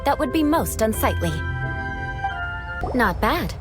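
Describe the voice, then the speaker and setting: A poised aristocratic knight. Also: female voice, a little high, sing-song, takes it slowly. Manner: formal, poised and dryly teasing. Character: proud young aristocratic female knight, composed and aloof. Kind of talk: game cutscene voice acting. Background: game music.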